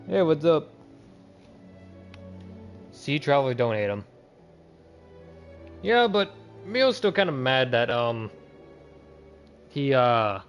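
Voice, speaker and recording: A man livestreaming a game, chatting informally with viewers and often restarting or repeating his words. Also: mid-range voice. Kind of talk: casual livestream chat and game commentary.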